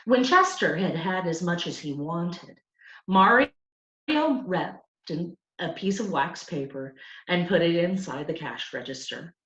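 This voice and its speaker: Female storyteller for children's fiction, lively melodic range, deliberate read-aloud delivery, slightly muffled lo-fi room sound. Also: lowish voice. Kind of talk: expressive scripted audiobook narration for children. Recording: roomy, dull lo-fi home recording.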